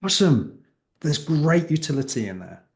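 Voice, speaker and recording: A man with a creaky voice speaking as an interview guest and coach, explaining emphatically with rhetorical questions and acted-out examples. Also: fairly high pitch, big pitch swings, normal speed, darkish tone. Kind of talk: animated interview conversation, explaining.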